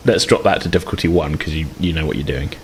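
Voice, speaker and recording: A man's voice: extremely low pitch, fast, a little hiss or hum. A man casually narrating a tabletop roleplaying game as game master, in a conversational, hedging way.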